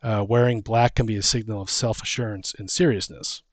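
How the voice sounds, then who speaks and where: A man co-hosting a casual chat, brainstorming fantasy lore in a halting, thinking-out-loud way. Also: fairly low pitch, fairly even intonation, no room sound. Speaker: podcast co-host.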